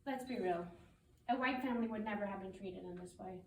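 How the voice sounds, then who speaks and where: A woman reading a prepared public statement as a community spokesperson, in a formal, firm and deliberate way. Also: medium pitch, darkish tone. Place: public meeting room. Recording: roomy meeting hall, distant mic, faint noise.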